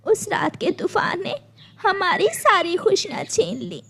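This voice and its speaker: A woman's voice: very high-pitched, big pitch swings, a little slow, creaky, sharp tone, a little hiss or hum, voice pushed up, exaggerated voice. A woman voicing a kind, poor female bird farmer in a cartoon, speaking earnestly and imploringly. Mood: sorrowful.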